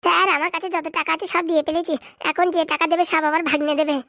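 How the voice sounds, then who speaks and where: A very fast, high-pitched cartoon youngster played by a woman. The character is indignant and mouthy, forever accusing. The sound is dry and close. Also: little pitch movement, gravelly, bright, forceful tone, no room sound, exaggerated voice. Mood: suspicious. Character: indignant, sharp-tongued young relative exposing hospital fraud. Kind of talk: fast cartoon comedy dialogue.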